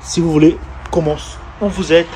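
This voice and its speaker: A man's voice: a little high, steady tone, moderate pace. A man from Brazil with a Brazilian Portuguese accent, a heavy-truck mechanic telling his story in a casual vlog, hesitant with many fillers and restarts. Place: outdoors at a truck yard and garage. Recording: outdoor street noise behind.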